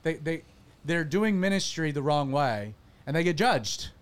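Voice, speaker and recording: Animated man hosting a church podcast. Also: a little high, a little slow, clear, resonant tone. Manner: animated, self-deprecating, frequent restarts. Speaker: pastor and podcast host. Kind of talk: casual panel chat.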